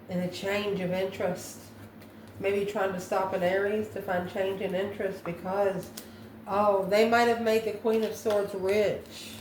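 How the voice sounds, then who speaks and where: A woman giving an improvised tarot reading, musing aloud and often asking questions that she then answers herself. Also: medium pitch. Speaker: tarot reader. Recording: roomy echo, faint background noise.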